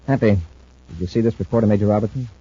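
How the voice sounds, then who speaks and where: Space commander in old radio serial, talks fast and bossy, crisp, muffled sound. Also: male voice, lowish voice, warm tone, no echo. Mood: serious. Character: brisk, authoritative male space patrol commander. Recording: muffled old radio recording, faint hiss.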